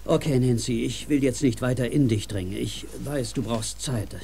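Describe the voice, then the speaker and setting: A man's voice: medium pitch, moderate pace, vocal fry, mellow, a little hiss or hum, natural voice. A middle-aged man playing an insistent, commanding police officer and protective father in a radio play. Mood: stern, probing.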